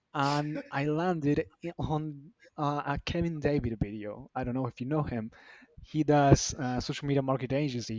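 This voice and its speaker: A man, earnest and halting, often restarting his sentences. His voice sits at an ordinary pitch and sounds slightly dull and lo-fi. The room is dry, with no echo. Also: darkish tone. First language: Spanish. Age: 30s. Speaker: team member sharing his story. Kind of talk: personal story on a live stream.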